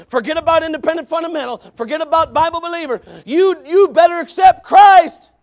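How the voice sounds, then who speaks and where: A man preaching a revival sermon, loud and shouting, with joking asides to the congregation. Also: extremely high pitch. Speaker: pastor.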